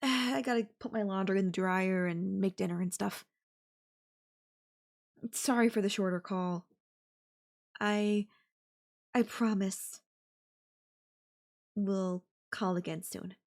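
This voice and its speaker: Woman acting as a slow-talking, clingy yandere girlfriend. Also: medium pitch, creaky. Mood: hurt, withdrawn. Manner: hesitant, drawn-out, coy delivery with hums and pauses. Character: clingy, possessive yandere girlfriend, feigning sweet innocence. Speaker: voice actor. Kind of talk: girlfriend audio roleplay, one side of a call.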